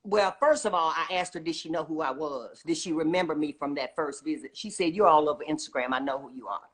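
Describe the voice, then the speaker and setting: A woman's voice: sits low, fast talker. Emphatic middle-aged woman chatting as guest. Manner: emphatic, often tags statements with "okay?". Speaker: guest, children's book author and retired teacher. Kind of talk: casual enthusiastic interview chat.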